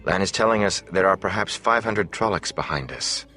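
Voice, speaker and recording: Man voicing a low, musing, commanding sorceress. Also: lively intonation, sharp tone, faint music behind. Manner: measured, musing, authoritative. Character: calm, commanding sorceress, woman, measured and brooding.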